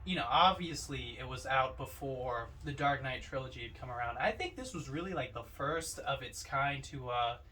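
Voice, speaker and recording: A man's voice: mid-range voice, normal speed, few pauses, bright, forceful tone. A man giving his opinions as co-host of a casual film discussion, picked up from a little distance. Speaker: podcast co-host. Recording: distant mic.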